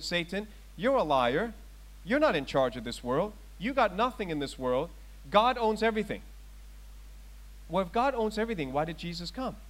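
A middle-aged man preaching a sermon as pastor, emphatic and asking his congregation rhetorical questions. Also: a little high. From Thailand. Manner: emphatic, rising loud on scripture readings and questions.

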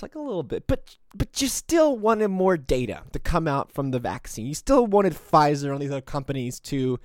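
A young man in his twenties rants through his solo podcast, sarcastic and combative, often rambling. His voice sits a bit high for a man. The recording is dry and close, with no room sound. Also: darkish tone, no echo. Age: 20s. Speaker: podcast host. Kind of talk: opinionated solo commentary.

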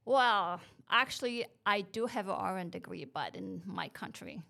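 A woman's voice: mid-range voice, animated, normal speed, vocal fry, bright, forceful tone. A woman from Poland with a Polish accent, Polish her first language, answering interview questions in an earnest, upbeat way with short, simple phrasing. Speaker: hospital staff member, interview guest. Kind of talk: casual conversational interview.

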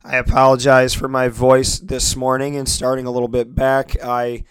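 Male sports show host, hoarse from a lost voice, flat earnest delivery, dry close sound. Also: medium pitch, normal speed, dry recording. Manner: earnest, repetitive, grateful, many restarts. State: losing his voice, hoarse. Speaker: sports talk radio host. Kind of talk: solo internet radio show monologue.

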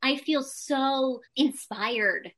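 A woman's voice: sits high, very slow, a bit bright. A woman speaking as an interview guest, talking candidly and personally, with lots of casual "like" and "you know" fillers. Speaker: interview guest, Pilates instructor and entrepreneur. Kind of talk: candid conversational interview answers.

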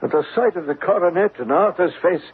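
A man's voice: sits high, animated, a little fast, husky, heavily acted. An actor playing a stern, troubled older banker in a classic radio drama, formal and emphatic in his speech. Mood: distraught. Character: anguished, stern, proud older banker father. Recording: tinny, noisy old radio recording.

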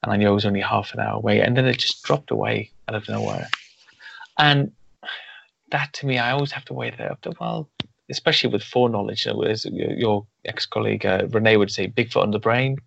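A man's voice: lowish voice, a little fast, gravelly, fairly bright tone, a little hiss or hum. A man hosting a podcast interview, talking in a thoughtful, rambling way with many restarts. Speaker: podcast host. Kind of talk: conversational interview. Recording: dull lo-fi sound.

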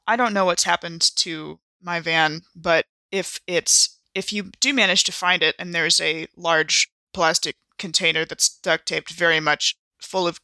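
A woman's voice: on the low side, bright, forceful tone, clean tone, dry recording. A woman improvising in character as a player in a tabletop roleplay podcast, wry and wordy with hesitant turns. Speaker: player in an actual-play audio drama podcast.

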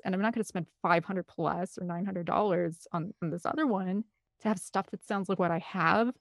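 A woman's voice: medium pitch, no room sound, clean studio sound. A woman talking casually and at length as an interview guest, with a gushing, rambling enthusiasm. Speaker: interview guest, film composer and director.

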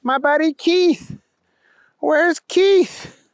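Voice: high voice, drawn-out words, no room sound, pitched above the performer's own voice, full character voice. A storyteller voicing a bewildered, anxious man in his thirties in a comic story. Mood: alarmed.

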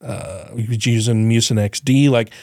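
A man's voice: mid-range voice, fairly even intonation, normal speed, mellow, dry recording, clean studio sound. A man co-hosting a podcast in casual chat, his voice still a bit congested and nasal, rambling, swearing freely and laughing often. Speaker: podcast co-host.

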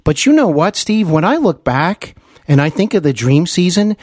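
A man's voice: fairly high pitch, very animated, moderate pace, a little mellow, dry recording, clean studio sound. A man hosting a podcast interview, speaking as an earnest, effusive fan of his guest. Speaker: podcast host. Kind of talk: celebrity interview.

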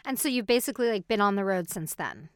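A woman's voice: mid-range voice, lively intonation, quick pace. A woman hosting an interview, asking short, prompting questions. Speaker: interview host. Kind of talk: conversational interview.

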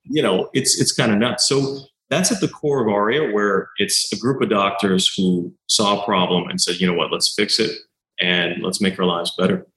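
A doctor and founder in his thirties, telling stories in an animated way and acting out quoted exchanges, with lots of "you know" fillers. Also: fairly low pitch, moderate pace, echoey room, low-quality recording. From Canada. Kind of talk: conversational interview answers.

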